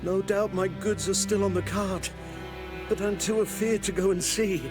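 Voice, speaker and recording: An actor playing a frightened, self-pitying peasant trader in a fantasy game, moaning and plaintive. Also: male voice, high-pitched, fairly even intonation, moderate pace, lightly acted. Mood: fearful. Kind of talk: video game voice acting. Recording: music behind the voice. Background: music.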